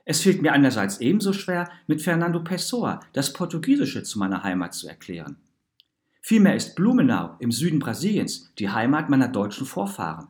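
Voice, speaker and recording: A man's voice: medium pitch, natural voice. A man voicing a passionate, well-travelled mother in a story, speaking earnestly, eloquently and reflectively about herself. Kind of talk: storybook narration in character.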